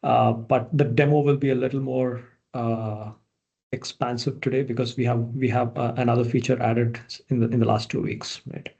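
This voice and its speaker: Gravelly, mellow-voiced man presenting. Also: mid-range voice, normal speed, highs cut off. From India. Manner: relaxed, with many uh fillers and restarts. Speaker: product manager presenting. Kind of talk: online conference presentation. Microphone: video call.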